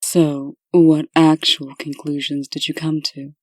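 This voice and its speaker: A woman's voice: deep voice, little pitch movement, a little slow, no room sound. A woman playing a serious, determined official in a comic dub, speaking in a precise, earnest and insistent way. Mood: businesslike. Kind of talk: comic dub character acting.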